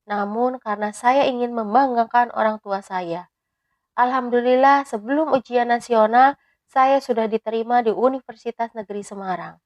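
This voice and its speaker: A woman in her thirties, a teacher, reading a prepared personal reflection in a measured, even way. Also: a little high, no room sound, muffled recording. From Indonesia. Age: 30s.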